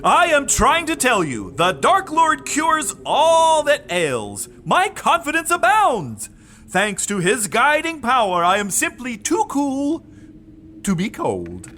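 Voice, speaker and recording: Man playing a high, theatrical young goth zealot. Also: huge pitch swings, slow, sharp tone, a little hiss or hum, exaggerated voice. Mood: boastful, devoted. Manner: grandiose, theatrical, eager. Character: pompous, devout young goth scribe serving a Dark Lord. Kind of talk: audio drama acting.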